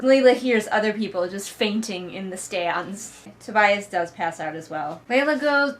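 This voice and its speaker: A woman's voice: mid-range voice, a bit bright. Sarcastic woman giving a casual comic recap. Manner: sarcastic, exasperated, swears casually; acts out mocking character quotes. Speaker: host of a book read-along.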